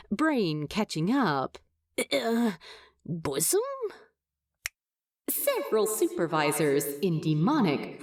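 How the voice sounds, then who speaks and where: A woman reading a story aloud as an expressive, wry narrator. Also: mid-range voice, no echo. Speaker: fan fiction narrator.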